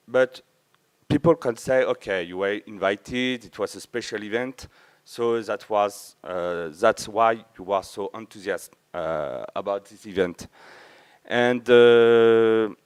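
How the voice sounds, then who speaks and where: A man from France with a French accent, a native French speaker, giving an informal conference talk in halting English, often searching for words. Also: on the low side, smooth. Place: large auditorium with a few listeners, speaker at the front.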